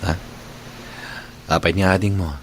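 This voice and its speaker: An actor playing a firm, opinionated older man in an Ilocano radio drama, frank and deliberate in his advice. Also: deep voice, flat delivery, slight background noise. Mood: concerned, firm.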